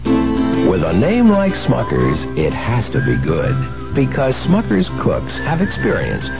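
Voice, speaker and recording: A male announcer reads a folksy ad in a gravelly, hugely animated voice. Music plays behind him on the old radio recording. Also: medium pitch, slow. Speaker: commercial announcer. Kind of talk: folksy scripted radio ad read. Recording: dull 1970s radio recording.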